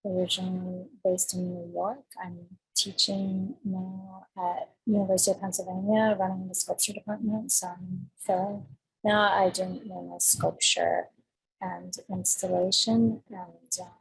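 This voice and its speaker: Raspy, gravelly-voiced woman on a call. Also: on the low side, flat delivery, unhurried, poor audio. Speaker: artist, professor. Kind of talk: self-introduction. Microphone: video call.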